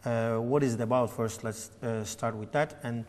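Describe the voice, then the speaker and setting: A man's voice: medium pitch, steady tone, normal speed, darkish tone. Man in his thirties, TV host, hesitant phrasing, lapel mic, reverberant studio. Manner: hesitant, many fillers and restarts. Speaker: TV interview host. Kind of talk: studio interview.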